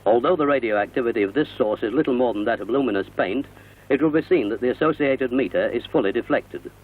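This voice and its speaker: A British man narrates in the formal style of a 1960 industrial film, explaining each point in measured phrases. His voice is slightly rough and husky at a middle pitch. The sound is thin and narrow, like an old film soundtrack. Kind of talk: 1960 newsreel scripted narration. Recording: muffled, narrow-band vintage film soundtrack.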